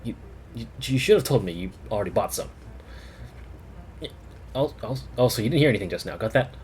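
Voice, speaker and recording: Man putting on an excitable brash young woman cook. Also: mid-range voice, a little fast, slight background noise, voice pushed up, close to an everyday voice. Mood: indignant, flustered. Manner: excitable, bossy, playful.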